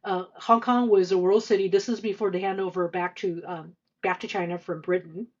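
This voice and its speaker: A woman in her fifties answering interview questions conversationally, with halting, hesitant speech full of stammered restarts. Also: fairly low pitch, steady tone, darkish tone, muffled recording. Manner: halting, hesitant delivery with frequent stammered repeats and long filler runs. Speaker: podcast guest, senior finance executive.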